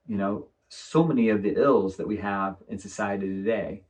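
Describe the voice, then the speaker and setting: Low-voiced man, distant from the mic. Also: moderate pace, a little mellow. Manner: thoughtful, rambling, many fillers. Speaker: guest, architect. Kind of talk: casual conversation.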